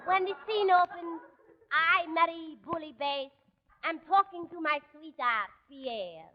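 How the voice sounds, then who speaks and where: Vain French diva, very high and slow, overwrought, old radio comedy. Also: female voice, some chatter behind, slight background noise. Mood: melodramatic passion. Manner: overwrought, theatrical, broken English. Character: vain, melodramatic French femme fatale stage performer. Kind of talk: 1940s radio comedy acting. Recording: muffled old radio broadcast, reverberant hall.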